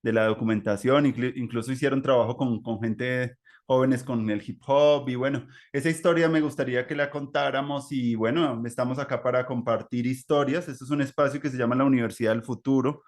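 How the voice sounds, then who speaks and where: A man with a Colombian accent hosting a reflective, conversational interview, with halting, meandering phrasing full of filler words. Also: mid-range voice, fairly even intonation. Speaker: interview host.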